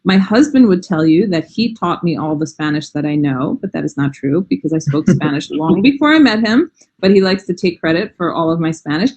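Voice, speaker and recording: Casting: American woman in her forties, slightly low voice, chatty and enthusiastic, lots of "um" and "you know". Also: expressive, a little fast, few pauses, a little mellow, breathy edge. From United States. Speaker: language teacher, interview guest. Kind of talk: casual interview chat.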